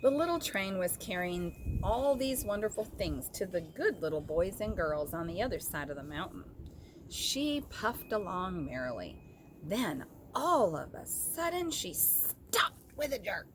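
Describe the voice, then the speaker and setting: A woman reading a children's story aloud as an expressive storyteller. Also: medium pitch, measured pace, background noise.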